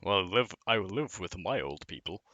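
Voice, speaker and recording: A man's voice: deep voice, animated, a little fast, sharp tone, dry recording, muffled recording. A young man co-hosting a casual podcast, teasing his co-host with swearing banter. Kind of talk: casual gaming chat.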